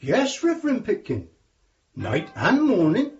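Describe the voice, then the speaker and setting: An actor playing a respectful, earnest prison warder in a radio drama. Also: male voice, sits high, huge pitch swings, a little slow, darkish tone, faint music behind, heavily acted. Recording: dull lo-fi audio.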